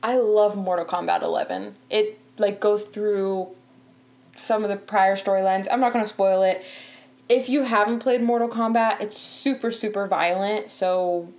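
Young woman, host, casual chat, laughs often, filler-heavy, mid-pitched voice. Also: fairly even intonation, normal speed, darkish tone. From Canada. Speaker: podcast host.

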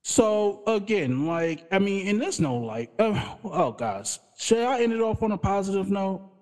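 A man co-hosting a casual podcast, agreeable and animated, swearing casually. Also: high-pitched, measured pace, in a large hall. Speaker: podcast co-host.

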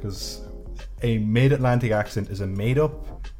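Irish man from Cork, lowish voice. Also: measured pace, a little mellow, faint music behind. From Ireland. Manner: dry, teasing, swears casually. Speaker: podcast co-host. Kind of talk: casual chat.

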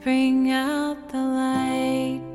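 Woman singing very slowly, high and even. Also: loud music behind the voice. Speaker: singer. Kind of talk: slow lullaby-like singing.

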